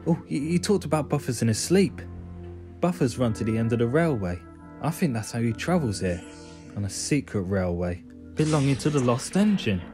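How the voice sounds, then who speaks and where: Guy doing a naive little cartoon train, earnest, kind of hesitant, thinking out loud. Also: medium pitch, expressive, normal speed, faint music behind, natural voice. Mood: wondering. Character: naive, thoughtful small green male engine.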